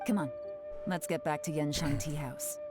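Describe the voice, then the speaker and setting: A woman voicing a shrewd, composed female investigator in a video game, measured and businesslike. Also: low-pitched, fairly even intonation, loud music behind the voice, lightly acted. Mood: composed, assured.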